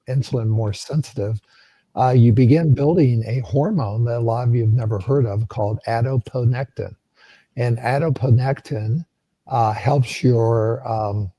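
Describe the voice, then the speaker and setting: Hoarse guy, super dark husky voice, explaining stuff in a live q&a, lots of ums, dry sound. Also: medium pitch, no echo, poor audio. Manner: explanatory, with many ums and uhs. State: hoarse, losing his voice. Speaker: co-host answering health questions.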